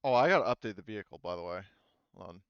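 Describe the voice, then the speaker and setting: A man acting as game master in a casual online tabletop session, explaining rules haltingly as he goes. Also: on the low side, animated, clean tone, dry recording, dull audio.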